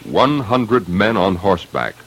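A man with a low voice makes terse, dramatic radio announcements in a crime show. Loud music plays right along with him. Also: very animated, a little slow.

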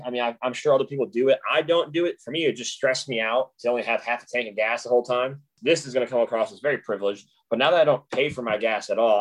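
A man in a relaxed podcast chat, talking fast in a plain mid-range voice. He rambles eagerly from one aside to the next, full of enthusiasm. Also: mid-range voice, rough recording. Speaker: podcast co-host. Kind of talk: casual chat.

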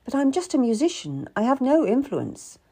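A woman narrator plays a Tudor servant woman in a historical audiobook. Her voice sits high and moves freely in pitch. She is earnest and urgent, beseeching her betters. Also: sing-song, moderate pace, warm tone, slightly rough, full character voice. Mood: wary, reluctant. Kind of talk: dramatised audiobook character.

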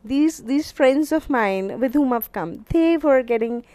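Animated woman host, Indian English. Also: sits high, measured pace, no room sound. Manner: animated, switches into Hindi, exclamatory. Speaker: interview host. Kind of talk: casual chat.

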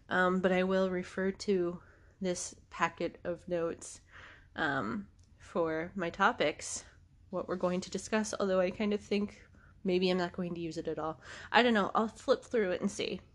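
A woman, hosting a podcast in a casual, rambling monologue, congested from allergies and laughing often. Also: fairly low pitch. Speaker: podcast host.

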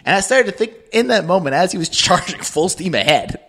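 A man co-hosting a podcast, telling comedic stories in a casual, profane, animated way and laughing often. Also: high-pitched, brisk. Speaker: podcast co-host.

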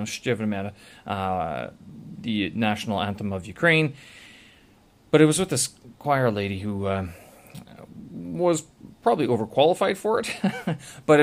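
Man, lower voice, loose rambling vlog monologue full of ums and restarts. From Canada. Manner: rambling, many filler sounds and self-corrections. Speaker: vlogger. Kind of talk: casual monologue to camera.

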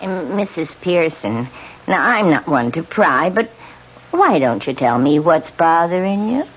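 A woman's voice: fairly low pitch, very animated, measured pace, husky, exaggerated voice. An actress playing a nosy, motherly spinster boarder with a fussy, insistent way of talking in a 1970s radio drama. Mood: prying, concerned. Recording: dull lo-fi old radio broadcast.

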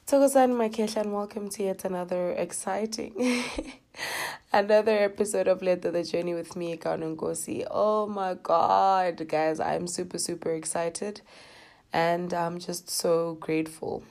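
A woman with a South African accent hosting her own podcast, slightly hoarse from a coming cold, talking in an earnest, heartfelt way with lots of "you know"s. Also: on the low side, steady tone, unhurried, darkish tone. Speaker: podcast host. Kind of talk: reflective personal podcast talk.

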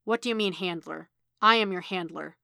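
A woman voicing a harsh, commanding older male handler from a story, gruff and contemptuous, with gravelly, clipped orders. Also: fairly low pitch, moderate pace, bright, forceful tone, no echo, studio quality. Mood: angry, demanding. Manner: barking, clipped orders and scornful swearing.

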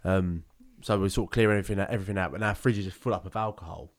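A man's voice: sits low, fairly even intonation, racing. A young man, co-hosting a podcast in casual banter, rambling, laughing often and restarting his sentences. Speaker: podcast co-host.

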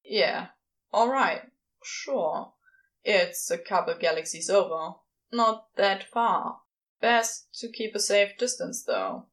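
A woman's voice: mid-range voice, expressive, slow pace, a bit bright, poor audio. A woman voicing a sardonic, casual male celestial being with offhand, clipped phrasing in a fan fiction audiobook.

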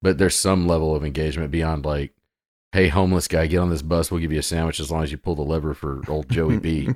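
Man, very low deep voice, blunt and crude, dry close sound. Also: steady tone, fast talker, a little mellow, dry recording. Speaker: podcast co-host. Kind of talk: casual chat.

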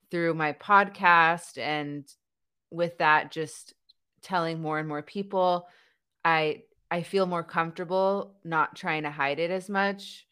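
A young adult woman with a stutter, a podcaster talking openly in a relaxed conversation. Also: low voice, smooth. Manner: stutters, laughs between thoughts. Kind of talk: conversational interview chat.

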